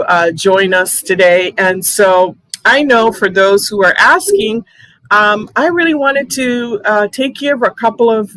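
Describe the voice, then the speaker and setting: A woman's voice: mid-range voice, fairly bright tone, dry recording, poor audio. A woman hosting a live online interview, chatty, with many fillers and restarts. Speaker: host.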